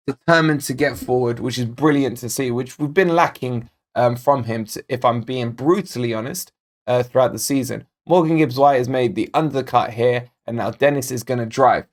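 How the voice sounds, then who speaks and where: A man hosting a football fan channel, talking casually and directly to viewers in a match analysis, his voice still a little croaky. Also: medium pitch, moderate pace. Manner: chatty, direct, addresses viewers as guys. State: slightly croaky voice. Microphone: desk microphone.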